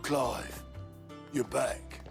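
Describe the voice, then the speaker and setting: A man acting a plain-spoken, warm-hearted rebel comrade in a dramatic video game. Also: extremely slow, music in the background. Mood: moved relief.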